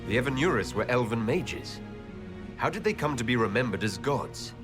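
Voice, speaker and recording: A man voicing a serious, thoughtful male elven leader in a video game, with an earnest, deliberate, probing manner. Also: mid-range voice, animated, music in the background, natural voice. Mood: questioning, concerned. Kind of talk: dramatic video game dialogue.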